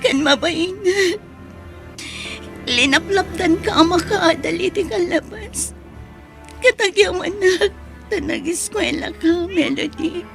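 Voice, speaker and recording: A very high, girlish voice from an actress plays a young daughter full of remorse. She pleads emotionally in audio-drama style, with music underneath. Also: slow, gravelly, long pauses, full character voice. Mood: tearful, remorseful. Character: tearful, remorseful young female student and daughter.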